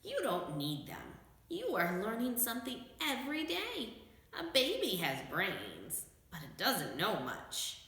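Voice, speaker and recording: A woman's voice: medium pitch, huge pitch swings, slow pace, sharp tone, heavy reverb, voice pushed up, full character voice, poor audio. A woman voicing a very old storybook wizard, meek and apologetic, who reasons and confesses mildly. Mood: mild, reassuring. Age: older.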